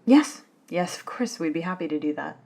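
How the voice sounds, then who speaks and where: A woman playing an eager, polite female office and lab assistant in a soft-spoken ASMR roleplay, with a breathy, murmuring, slightly hesitant delivery. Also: sits low, expressive, normal speed. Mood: eager, deferential. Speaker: voice actor in scripted ASMR roleplay.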